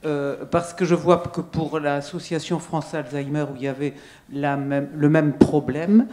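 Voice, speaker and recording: Man from the audience, highish mellow voice, hesitant question. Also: fairly even intonation, measured pace. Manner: hesitant, questioning, many fillers. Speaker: audience member asking a question.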